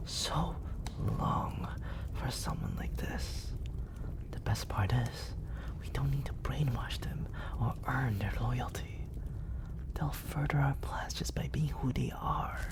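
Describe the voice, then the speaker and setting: A soft-spoken scheming villain, voiced by a man, coaxes in a slow, gravelly near-whisper. His tone is dark and mellow, and his pitch swings widely between hushed murmurs. It is a close-mic ASMR roleplay. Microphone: close studio condenser.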